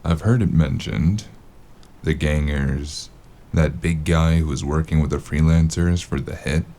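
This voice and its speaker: An actor playing a weary, sardonic noir investigator in an audio drama, gravelly and dryly deadpan. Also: male voice, extremely low pitch, a little slow. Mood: dry, brooding. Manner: dry, understated deadpan.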